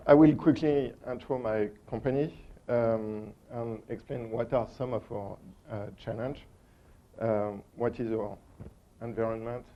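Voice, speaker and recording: A man with a strong French accent giving a technical conference talk, hesitant and full of ums and uhs. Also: medium pitch. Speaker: conference speaker. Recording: dull lo-fi conference recording.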